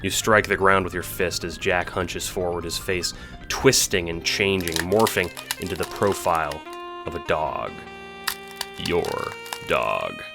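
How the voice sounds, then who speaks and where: A man with a low voice narrates an audio drama in a dramatic, scripted storytelling style. Music plays clearly behind him. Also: a little slow.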